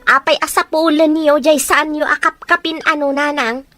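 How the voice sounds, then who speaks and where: A bitter, hard-hearted Ilocano wife in a radio drama, played by an actress. Her voice is high and tense, with faint music in the sound. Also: steady tone, moderate pace, bright, forceful tone.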